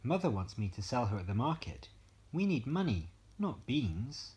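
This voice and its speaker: A man's voice: medium pitch, very animated, normal speed, darkish tone, close to an everyday voice. Guy doing a little fairytale boy, eager n naive, voice goes all over. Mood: reluctant. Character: naive, eager, pleading young boy adventurer.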